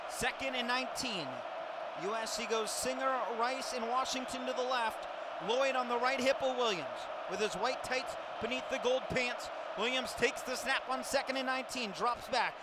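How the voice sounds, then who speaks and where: A man's voice: very high voice, steady tone, moderate pace, over a noisy crowd. A man calling football play-by-play for radio in clipped, descriptive phrases, projecting his voice like a sports announcer. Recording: loud stadium ambience behind the voice. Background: stadium noise.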